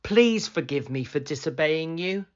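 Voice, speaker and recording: A remorseful, earnest prophet, a grown man, as a storybook reader plays him, read slowly on a dull lo-fi recording. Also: on the high side, animated.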